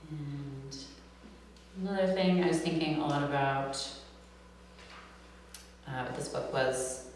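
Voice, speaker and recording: A woman's voice: low-pitched, steady tone, slow pace, darkish tone. American lady poet at a live reading, bit nasal, big roomy reverb, faint hum behind. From New York City, USA. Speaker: poet reading own work. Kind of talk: live poetry reading with casual introductions between poems. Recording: echoey hall, distant mic, humming noise.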